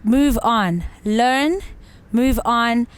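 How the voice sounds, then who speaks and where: A woman's voice: a little high, drawn-out words, clean tone. A woman hosting a casual interview with musicians. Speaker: interview host.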